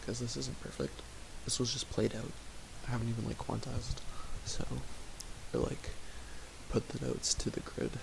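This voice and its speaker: Young guy rambling quietly about his music, raspy low voice, lots of "like". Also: level tone, normal speed. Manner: rambling, many fillers, thinking aloud. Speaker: music producer streaming. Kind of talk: casual talk while making music. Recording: home recording with audible hiss.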